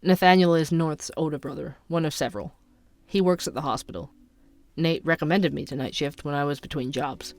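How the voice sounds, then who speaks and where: An actor playing a dogged, wry young male investigative podcaster in an audio drama, with a dry, measured, sardonic delivery. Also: mid-range voice, natural voice. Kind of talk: scripted narration and dialogue, audio drama.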